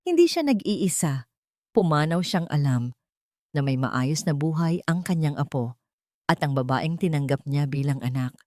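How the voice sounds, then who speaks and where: A woman's voice: deep voice, lively intonation, normal speed, a little mellow, dry recording, clean studio sound. A woman with a Filipino accent narrating a first-person audio story in a reflective, lyrical way.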